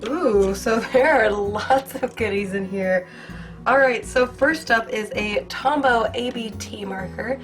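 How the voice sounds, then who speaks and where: Young woman, hoarse, gushing unboxing chatter, highish voice, soft music behind. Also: takes it slowly. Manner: bubbly, gushing, filler-heavy. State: hoarse from a cold. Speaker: art channel host. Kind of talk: casual unboxing review.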